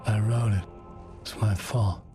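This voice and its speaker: An actor playing a haunted, brooding writer who narrates his own dark thriller game story. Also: lowish voice, steady tone, a little slow, lightly acted. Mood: brooding, weary. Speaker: protagonist and narrator. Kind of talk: brooding scripted narration and drama. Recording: loud music behind voice.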